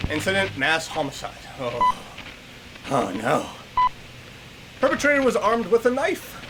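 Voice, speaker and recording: A man's voice: high-pitched, heavy background noise. A man giving casual reaction commentary as he exercises, a little short of breath, reading things aloud and commenting on them. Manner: animated, reads on-screen text aloud then reacts; exercising on a bike while talking. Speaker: YouTuber reacting to horror videos.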